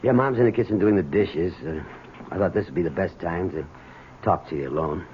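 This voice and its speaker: A man's voice: low-pitched, natural voice. Actor as anxious, bitter father on tinny radio. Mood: cautious, probing. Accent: American. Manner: stammering, halting, agitated. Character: embittered, desperate middle-aged father, suspicious and anxious. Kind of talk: 1970s radio drama acting. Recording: tinny narrow-band old radio, background hiss.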